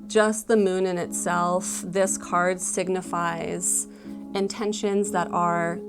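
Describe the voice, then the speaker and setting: Woman tarot reader just chatting thru the cards, loose and explainy, music playing behind her. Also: fairly low pitch. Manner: conversational, explanatory, often hedging with "like" and "you know". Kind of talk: casual tarot reading.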